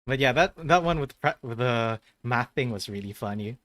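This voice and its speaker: A man hosting a casual gaming stream, speaking at an ordinary middle pitch. He rambles through his thoughts and corrects himself mid-sentence. He laughs easily along the way. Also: animated, normal speed, fairly bright tone, no room sound, clean studio sound. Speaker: host of a gaming channel.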